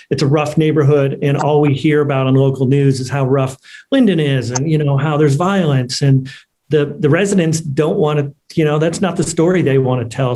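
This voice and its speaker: Mellow-voiced man moderating an event. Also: mid-range voice. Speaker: host, moderator.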